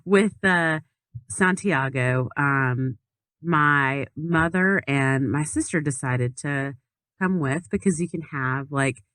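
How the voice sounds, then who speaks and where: A woman's voice: low voice, slow pace, a bit bright, smooth, no echo. A woman telling a travel story as a podcast guest in casual chat, with lots of fillers and restarts.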